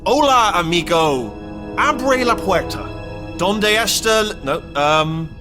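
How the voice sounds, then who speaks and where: Flustered, high-pitched British robot helper. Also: male voice, sing-song, moderate pace, over music. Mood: impatient, pleading. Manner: rambling, stammering, blurting and backtracking. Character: chatty, panicky, bumbling male robot helper. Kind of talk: comic video game character acting.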